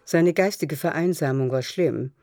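A woman's voice: low voice, normal speed, dark tone, dry recording, clean studio sound. A woman narrating a literary radio drama as a storyteller.

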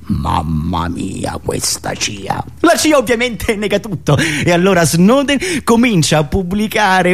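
A man delivering a theatrical, ironic political monologue as a radio satirist, emphatic and fond of dramatic pauses. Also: on the high side.

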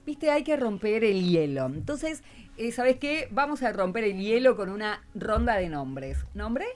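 A woman's voice: medium pitch, big pitch swings, measured pace, darkish tone. A woman with a Buenos Aires Argentine accent hosting an informal radio show, chatty and encouraging as she prompts her guests. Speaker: radio host.

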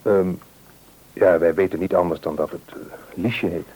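A hopeful husband in a Dutch radio play. His voice is very low, dark and somewhat rough. He is courteous and a bit hesitant, with a Netherlands Dutch accent. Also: moderate pace, poor audio. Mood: earnest, hesitant. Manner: polite, hesitant. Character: hopeful, polite married man seeking to adopt.

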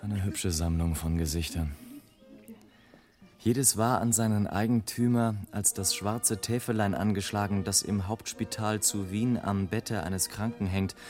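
An actor playing a scornful airship traveller in a radio play, delivering a sardonic, declamatory monologue as a bitter satirist of mankind. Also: male voice, fairly low pitch, steady tone, unhurried, darkish tone, natural voice. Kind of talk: literary radio play monologue, late 1980s. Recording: music behind the voice. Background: music.

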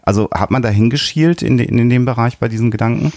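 A man hosting a casual interview, talking conversationally and rephrasing his points to make them clear. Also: mid-range voice, quick pace, a little mellow, no echo, clean studio sound. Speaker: podcast host, interviewer.

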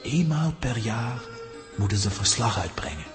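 An actor playing a gravely wise head lama of a mountain monastery, telling things in a solemn, formal, measured way for a children's audio drama. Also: male voice, mid-range voice, big pitch swings, natural voice. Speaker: character telling a mountain legend. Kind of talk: Dutch-language children's audio drama acting. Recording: long reverberant hall with music behind.

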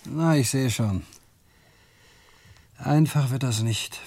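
An actor playing a coolly ruthless, impatient criminal accomplice in a 1960 German radio play, speaking tersely and dryly. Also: male voice, medium pitch, takes it slowly, a little mellow, slight background noise. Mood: wary, hard. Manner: terse, dry, matter-of-fact.

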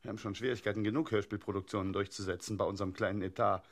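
An actor playing a harried, officious radio play director who is pedantic and bossy, with clipped courtesy. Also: male voice, lowish voice, fairly even intonation, very fast. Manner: officious, exaggeratedly courteous, snapping. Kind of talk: comic radio drama acting. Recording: music playing under the voice. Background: loud music.

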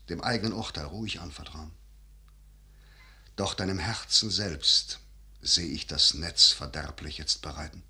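A man's voice: fairly low pitch, pushed and bright, full character voice. An actor playing a grave, calculating older father and general in a classical radio verse drama, with solemn, emphatic declamation. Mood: grave, warning.

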